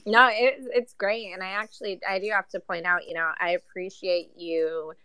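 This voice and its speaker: A woman's voice: fairly low pitch, fairly even intonation, normal speed, pushed and bright, clean tone, dry recording, poor audio. A woman on a casual live radio panel, responding in an affirming, encouraging way.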